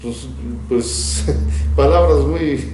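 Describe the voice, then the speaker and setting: An older man from Mexico, a retired teacher, recalling his childhood in an interview, reflective and often laughing, with halting restarts. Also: fairly high pitch, warm tone, reverberant, slight background noise. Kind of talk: recounting childhood memories.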